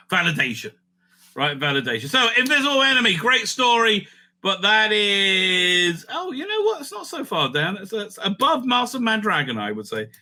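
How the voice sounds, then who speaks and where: Male host, very bright and forceful, slightly high voice; animated casual commentary, thinking out loud. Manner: animated, thinks aloud with frequent asides. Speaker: host ranking TV serials.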